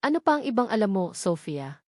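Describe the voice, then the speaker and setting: Woman voicing resolute wronged young gentleman, firm and serious. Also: mid-range voice, sing-song, a little fast, no room sound, studio quality. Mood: firm, accusing. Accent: Filipino. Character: wronged wealthy young man, angry and resolute.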